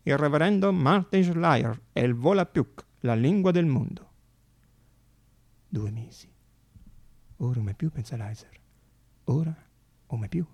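A man narrating a theatrical radio monologue as an actor, with dramatic pauses and repeated phrases. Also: on the high side, animated, darkish tone.

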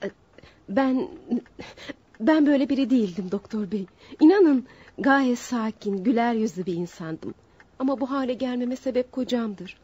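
A proud young wife in a radio melodrama, high and husky. She pleads haltingly, wounded and distraught over her husband's betrayal. The recording is dull and lo-fi. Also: lively intonation, dark tone, dry recording. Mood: defensive, pleading. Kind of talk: old radio theater acting. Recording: dull lo-fi radio drama, partly phone-like.

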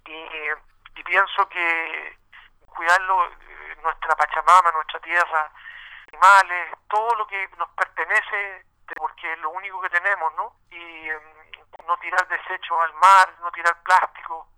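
A man's voice: on the high side, steady tone, a little slow, bright, forceful tone, clear, resonant tone, poor audio. A middle-aged man with a Chilean accent, a musician answering casually in a radio interview, often searching for his words. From Santiago, Chile.

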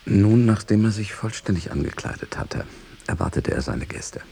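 An actor playing a scholar's devoted associate, narrating his habits in a measured radio drama style. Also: fairly low pitch, creaky, mellow, slight background noise.

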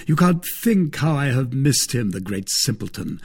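A man voicing a young, spirited, teasing gentleman soldier with a quick-witted manner in an audiobook. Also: medium pitch, very animated, breathy edge, pitched above the performer's own voice. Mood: fond, mocking.

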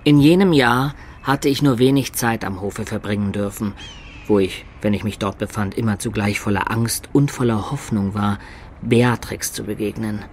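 A male actor in a radio drama playing an earnest, lovestruck adventurer of peasant birth, telling of his youth in measured, lyrical words. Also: lowish voice, slight background noise, natural voice. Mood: wistful, reminiscing. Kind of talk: dramatic first-person narration in radio play.

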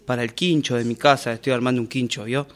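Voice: medium pitch, fairly even intonation, quick pace, natural voice. A performer playing a polite buyer looking over used furniture in a workshop radio drama. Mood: curious.

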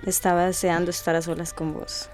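A woman's voice: on the low side, little pitch movement, a little fast, lightly acted. A woman with a Costa Rican accent playing a tender, flirtatious woman in love in a radio soap opera. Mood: tender, hopeful. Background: clear music.

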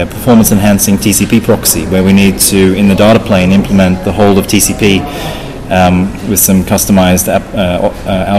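A man giving technical interview answers as a guest, often repeating and rephrasing as he explains. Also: deep voice. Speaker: interview guest, open source project founder. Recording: busy crowd chatter behind the voice. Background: crowd of people talking.

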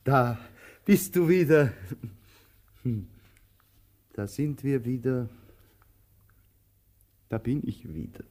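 A man acting an anxious, talkative tramp in an absurdist radio play, halting and insistent, repeating himself as he clings to his companion. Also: mid-range voice, expressive, a little mellow. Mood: halting wonder.

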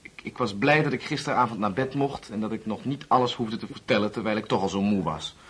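Hesitant, husky young man in radio drama. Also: fairly low pitch, fast, fairly bright tone. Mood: earnest, careful. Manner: hesitant, earnest. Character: nervous, apologetic young male friend bearing bad news. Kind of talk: Dutch radio play acting.

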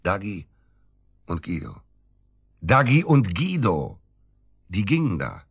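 Deep-voiced, bitter middle-aged widower. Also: male voice, huge pitch swings, slightly rough, heavily acted. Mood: agitated, accusing. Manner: agitated, halting, repeats himself for emphasis. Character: bitter, agitated widower, middle-aged father-in-law full of suspicion. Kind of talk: naturalistic German radio drama acting.

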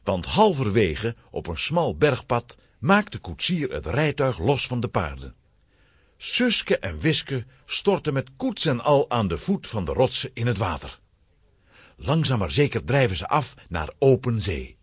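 A man narrating a scripted children's story with a husky voice. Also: medium pitch, sing-song, measured pace, no echo, clean studio sound.

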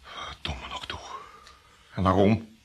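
A man's voice: takes it slowly, in a whisper, close to an everyday voice. An actor in a radio drama playing a grim, determined man, speaking with urgency and bitterness. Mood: bitter, grieving. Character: grim, determined man urgently questioning his dying friend.

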